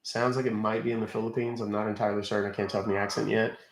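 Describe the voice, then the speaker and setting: A man's voice: on the low side, flat delivery, very fast, roomy sound, poor audio. A man hosting a live stream who explains things casually and talks straight to his viewers. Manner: explanatory, addressing viewers directly. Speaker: livestream host.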